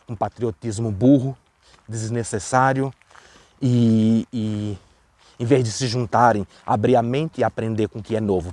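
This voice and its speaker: A man's voice: on the low side, darkish tone. A man from Brazil with a Brazilian Portuguese accent, giving an earnest, emphatic opinion monologue to camera. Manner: earnest, repetitive, emphatic preaching. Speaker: vlogger.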